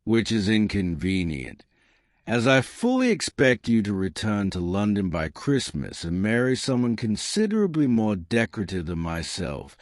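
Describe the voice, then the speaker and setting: Dry-witted quiet gentlewoman read by a man. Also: fairly low pitch, no room sound. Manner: dry, composed, unimpressed. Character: quiet, dry-witted, candid young gentlewoman, the overlooked cousin. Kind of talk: audiobook character dialogue.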